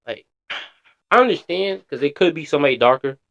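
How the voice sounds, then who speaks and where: A man's voice: on the high side, animated, a little fast, gravelly, a bit bright, lo-fi sound. A man streaming casual reaction commentary, slangy and blunt, swearing freely.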